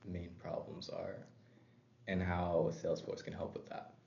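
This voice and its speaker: A man role-playing a sales representative making a pitch. He says he has been sick, and he hesitates with many fillers. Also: level tone, a little slow, creaky, dark tone, a little hiss or hum. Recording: dull sound in an echoey room.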